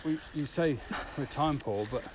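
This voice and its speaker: A man's voice: a little high, normal speed. A man co-hosting a podcast in an informal, conversational way. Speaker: podcast co-host. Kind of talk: casual chat. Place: outdoors, walking in the countryside. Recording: outdoor walking recording with ambient noise.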